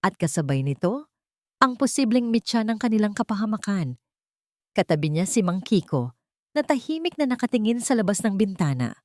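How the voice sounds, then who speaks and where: A woman's voice: medium pitch, sing-song, normal speed, darkish tone, no room sound, studio quality. A woman reading a dramatic scripted story as an audiobook narrator.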